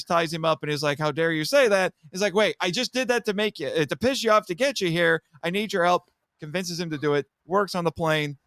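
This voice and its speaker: A man giving an animated, casual plot recap as a podcast guest, telling it dramatically and acting out the characters' lines. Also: high voice, clean tone, no room sound, clean studio sound. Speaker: podcast guest reviewing a film.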